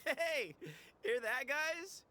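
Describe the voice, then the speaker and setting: An actor voicing a sly, cocky mercenary leader in a video game, with a teasing, theatrical delivery. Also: male voice, very high voice, drawn-out words, bright, forceful tone, a little hiss or hum, full character voice.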